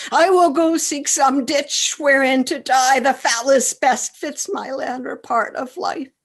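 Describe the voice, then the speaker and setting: A woman playing a remorseful soldier who deserted his master, speaking in anguished, declamatory Shakespearean radio play style. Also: a little high.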